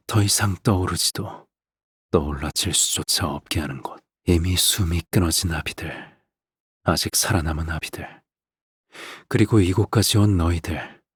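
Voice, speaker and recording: An actor playing a calm, sinister middle-aged father in a game, speaking in a measured, soft, condescending way with a husky, breathy voice. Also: sits low, no echo, clean studio sound, full character voice. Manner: measured, soft-spoken, condescending, trailing into breathy near-whispers. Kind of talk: theatrical scripted game voice acting.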